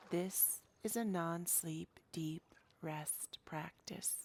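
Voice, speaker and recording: A woman guiding a meditation in a soothing, hushed, breathy manner. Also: sits low, a little hiss or hum. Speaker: yoga nidra guide. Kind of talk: guided meditation.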